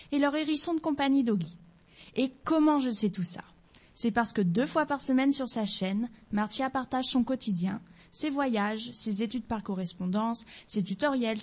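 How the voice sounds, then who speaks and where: A woman with a soft, mellow voice presents a scripted portrait segment in a local radio studio. She talks straight to the listeners in a chatty, friendly way, with playful asides. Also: a little high. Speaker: radio columnist.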